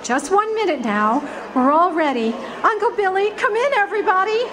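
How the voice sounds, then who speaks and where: A woman acting in a live community radio play, voicing a tender, excited wife. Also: very high voice, moderate pace, crowd noise, background noise. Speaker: actor playing Mary.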